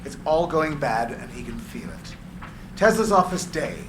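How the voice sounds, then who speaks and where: A man's voice: on the high side, lively intonation, measured pace. A man reading stage directions as scripted narration for a screenplay. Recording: echoey room with clear background noise.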